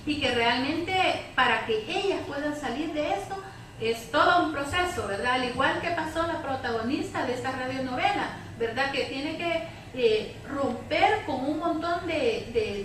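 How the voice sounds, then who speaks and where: A woman's voice: high-pitched, fairly bright tone. A woman with a Salvadoran accent speaking off the cuff on a panel, hesitant and adding frequent tag questions. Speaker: panelist from a women's support organization. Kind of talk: spontaneous panel commentary. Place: echoey room at a public debate. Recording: very echoey room, distant mic, faint noise.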